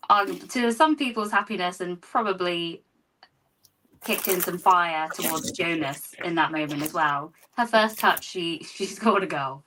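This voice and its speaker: A woman's voice: lowish voice, sharp tone, far from the mic, low-quality recording. A woman hosting a football fan show, who asks easygoing questions and laughs often. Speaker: show host. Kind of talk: casual football fan discussion.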